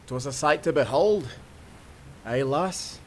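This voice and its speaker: Man acting as chatty young sailor, highish voice, nautical dialect, wide-eyed, faint background noise. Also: slow. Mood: cheerful, wondering. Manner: old-time nautical dialect. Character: cheerful, dreamy young sailor, credulous and chatty. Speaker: sailor guide and companion. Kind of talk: cinematic audio roleplay, nautical fantasy.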